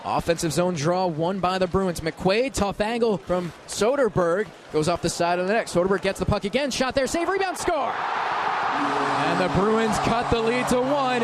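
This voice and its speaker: Man, very high voice, live hockey radio commentary, urgent clipped delivery, loud arena noise. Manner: excitable, urgent, clipped running description of action. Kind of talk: live sports play-by-play commentary. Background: loud ambience of a hockey arena.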